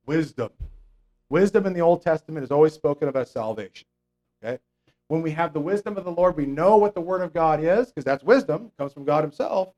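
A man with a fairly high voice delivers a conversational Bible lesson. He explains scripture step by step, with fillers and frequent "okay?" checks to the congregation. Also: lively intonation, fairly quick, darkish tone, lo-fi sound. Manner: mocks imagined religious people in a raised voice. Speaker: preacher. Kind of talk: conversational Bible teaching sermon.

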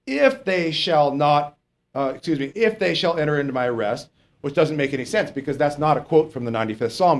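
A man with a lower voice, a pastor teaching verse by verse in a conversational way and reading scripture slowly and with emphasis. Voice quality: lower voice, not strong. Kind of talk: verse-by-verse Bible teaching sermon.

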